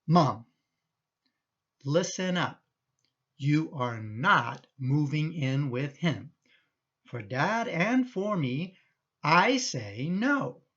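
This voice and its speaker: A woman's voice: deep voice, big pitch swings, drawn-out words, pauses often, breathy edge, dull audio, exaggerated voice. A woman playing an exasperated, protective middle-aged son in a radio play, indignant and emphatic in manner. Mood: indignant, insistent.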